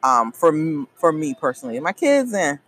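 A woman's voice: sits low, moderate pace. A woman from Trinidad co-hosting a podcast, chatting casually over wine on an outdoor hotel patio. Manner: chatty, lively, laughs easily. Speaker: podcast co-host. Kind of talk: casual wine-tasting chat.

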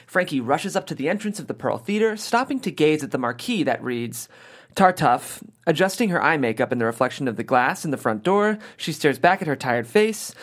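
Casting: male script narrator, lively varied intonation, medium pitch. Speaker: narrator reading stage directions. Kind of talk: scripted narration at a table read.

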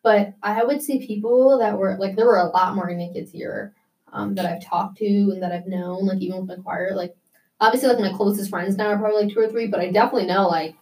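A young woman from the United States talks in a loose, casual interview way, full of "like" and little restarts. Her pitch sits in an ordinary middle range. She sounds slightly set back from the microphone. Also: fairly even intonation, quick pace, darkish tone, far from the mic, poor audio. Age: young adult. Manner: chatty, many "like" fillers.

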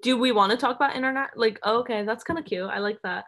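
A woman's voice: a little high, fast, a bit bright. A young woman co-hosting a podcast, talking in a chatty, filler-heavy way with frequent giggles. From United States. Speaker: podcast co-host. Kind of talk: casual chat.

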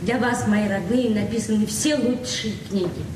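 An actress playing an idealistic, open-hearted librarian in her forties in a Soviet radio play, speaking earnestly with searching pauses. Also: mid-range voice, close to an everyday voice. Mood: earnest, tender. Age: 40s. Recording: roomy, distant, noisy 1970s radio recording.

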